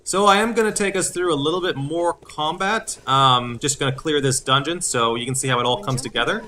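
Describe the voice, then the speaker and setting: A man hosting a gaming video, explaining as he plays in casual commentary with a halting delivery. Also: on the high side, pushed and bright, a little hiss or hum.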